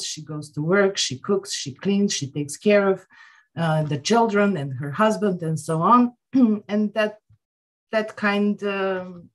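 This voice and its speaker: A woman's voice: on the low side, low-quality recording. A woman in her thirties with a Serbian accent, giving informal, reflective panel remarks with frequent hesitations on a video call. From Serbia, born in Yugoslavia. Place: indoor room at home, video call. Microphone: wired headset on a video call.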